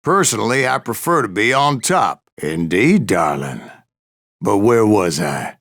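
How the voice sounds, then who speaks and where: Gravelly old Western gunslinger. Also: male voice, on the low side, big pitch swings, a little slow, a bit bright, exaggerated voice. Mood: sly, flirtatious, then wry, dramatic. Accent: American Western drawl. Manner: folksy, sardonic, salty. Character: grizzled old male gunslinger spinning tall tales, wry and boastful. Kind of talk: dramatic first-person storytelling.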